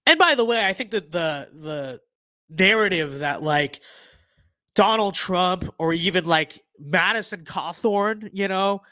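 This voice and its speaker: A man's voice: high-pitched, expressive, unhurried, bright, forceful tone, no echo. A young man hosting a casual livestream, losing his voice, rambling with lots of fillers and restarts. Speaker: livestream host.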